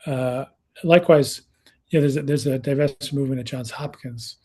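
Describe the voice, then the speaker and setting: Husky-voiced man in his sixties. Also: mid-range voice, fairly even intonation, fairly quick, mellow. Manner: conversational, many restarts and fillers. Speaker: researcher and speaker. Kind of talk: panel talk.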